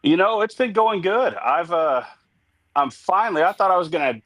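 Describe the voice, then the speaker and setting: A man's voice: sits high, lively intonation, moderate pace, fairly bright tone, no room sound. Chatty man in his fifties. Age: 50s. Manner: rambling, chatty storyteller. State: getting over a head cold. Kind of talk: casual chat.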